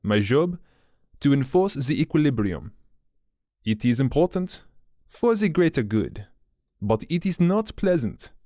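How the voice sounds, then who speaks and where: A man voicing an older gray-haired French male enforcer with a French accent, courteous and measured with veiled threats. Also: mid-range voice, very animated, warm tone, no echo, studio quality. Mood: silkily menacing.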